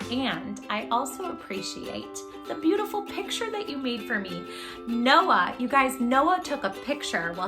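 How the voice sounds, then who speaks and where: A woman hosting a children's storytime, reading expressively and talking effusively and directly to her young listeners. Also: high voice. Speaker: children's storytime host and reader. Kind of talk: expressive picture-book read-aloud for young children.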